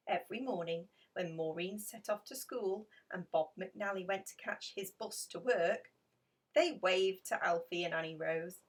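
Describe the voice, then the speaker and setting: A woman's voice: medium pitch, a bit bright, distant mic. A woman reading a children's picture book aloud as a storyteller. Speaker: storybook reader.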